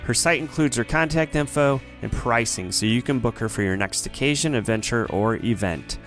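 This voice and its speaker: A man's voice: medium pitch, normal speed, a bit bright, faint music behind. A man hosting a podcast, asking questions with friendly hesitations and reading a closing outro. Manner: friendly, with frequent yeahs and hesitations. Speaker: podcast host. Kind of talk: casual interview, then scripted outro.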